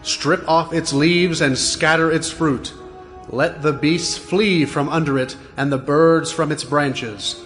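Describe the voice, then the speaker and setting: Stern angelic watcher, highish voice. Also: male voice, fairly even intonation, slow, soft background music. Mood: stern proclamation. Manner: forceful, solemn, proclaiming. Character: stern heavenly watcher angel proclaiming divine judgment. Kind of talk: dramatized audio scripture acting.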